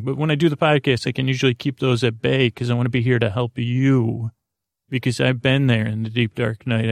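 Gravelly, meandering male bedtime podcaster. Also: mid-range voice, no room sound, studio quality. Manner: meandering, halting, self-interrupting. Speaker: podcast host. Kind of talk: rambling bedtime monologue.

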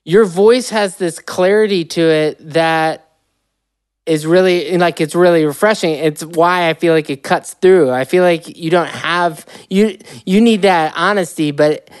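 A woman's voice: fairly low pitch, a little slow, smooth, dry recording. A woman interviewing a guest in a chatty, casual way, often hesitating and restarting. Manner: chatty, hesitant, lots of fillers.

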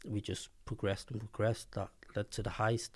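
Man, fifties, Manchester accent, lowish voice, casual storytelling, lots of ums. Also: level tone, moderate pace, a little hiss or hum. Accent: Mancunian English. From Manchester, England. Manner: chatty, hesitant with many ums, blunt slang. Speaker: guest.

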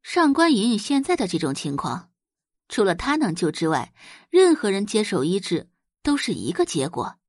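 A woman narrates a drama from a script. Her delivery is highly animated, with a husky, breathy edge and a close, dry recording. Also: moderate pace, dry recording. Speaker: audiobook narrator.

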